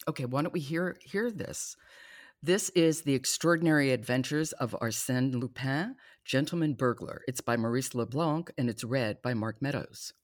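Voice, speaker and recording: A woman's voice: very deep voice, moderate pace, dry recording, studio quality. A woman hosting a podcast discussion about books. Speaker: podcast host.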